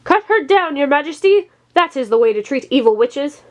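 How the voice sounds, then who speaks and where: A woman voicing a scheming, excitable male charlatan who makes accusations in a storybook tale. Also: high voice, moderate pace, voice pushed up. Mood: frantic, accusing.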